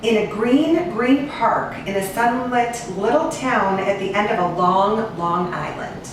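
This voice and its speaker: An American woman reading a children's storybook aloud in an expressive, sing-song manner. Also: mid-range voice. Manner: expressive, sing-song listing with drawn-out repeats. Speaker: animal cruelty investigator and rescue agent reading to children. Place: echoey indoor room, away from the mic. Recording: distant, very echoey room with hiss.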